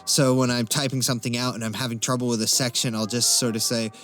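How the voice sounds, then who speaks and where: A man's voice: mid-range voice, little pitch movement, fast talker, a bit bright, faint music behind. A man chatting casually as a Q&A guest, talking in a hesitant, wry and self-deprecating way. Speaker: interview guest, amateur voice actor. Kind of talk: casual Q&A chat.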